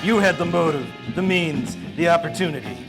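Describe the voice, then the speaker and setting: An actor playing a hard-boiled, wry male private detective, deadpan and tough, in a noir radio drama. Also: a little high, a little fast, gravelly. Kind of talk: film noir radio acting. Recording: loud music behind.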